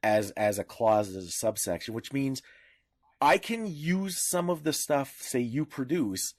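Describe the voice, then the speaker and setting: A Canadian man co-hosts a casual discussion in a mid-pitched voice. He repeats words and restarts phrases as he works toward his point. The sound is dry, with very little room in it. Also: lively intonation, measured pace, no echo. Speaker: podcast co-host. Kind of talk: casual discussion of media law.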